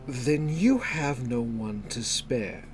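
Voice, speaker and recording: A man playing a cold, deliberate masked viscount. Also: mid-range voice, animated, faint music behind. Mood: cool, probing. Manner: measured, deliberate, precise.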